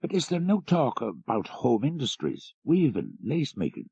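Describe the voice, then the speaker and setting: A man as an inquisitive gentleman, lively pitch. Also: normal speed, creaky, slightly rough, no room sound, highs cut off, heavily acted. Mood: curious. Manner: inquisitive, polite. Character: educated gentleman visitor, curious, politely probing questioner. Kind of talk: audiobook character reading.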